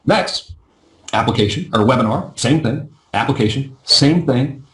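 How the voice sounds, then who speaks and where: A man coaching a live webinar in a chatty, self-deprecating way, his voice going a little hoarse. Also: mid-range voice, lively intonation, fairly bright tone, echoey room, poor audio. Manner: fast, chatty, self-deprecating, often asks "right?". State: losing his voice. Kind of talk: casual live webinar teaching.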